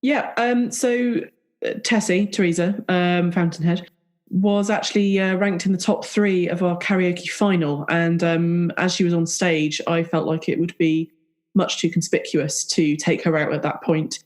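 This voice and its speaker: A woman playing a cheeky, eager spy with a halting delivery in an improvised audio roleplay. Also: on the low side, fairly even intonation, a little mellow, lightly acted. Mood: earnest, defensive. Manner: cheeky, halting, pun-loving. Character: cheeky, eager female spy codenamed Pigeon.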